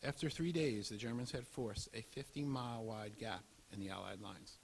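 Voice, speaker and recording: A man's voice: lowish voice, moderate pace, vocal fry. A man reading a scripted historical narration. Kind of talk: scripted documentary narration read aloud. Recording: audible hiss and background noise.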